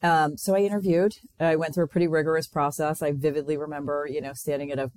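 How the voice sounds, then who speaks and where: A woman's voice: deep voice, flat delivery, fast, a little mellow. A middle-aged woman from Connecticut, a company president, speaking as a podcast guest and telling her story in a friendly, earnest way with frequent ums and restarts. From Connecticut, USA. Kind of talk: casual interview storytelling.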